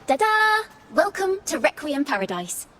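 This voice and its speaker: A woman's voice: high voice, big pitch swings, moderate pace, sharp tone, slight background noise, full character voice. A woman voicing a cheerful, musing young wandering musician in a video game, with lyrical, theatrical phrasing. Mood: bright, welcoming. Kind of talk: video game character dialogue.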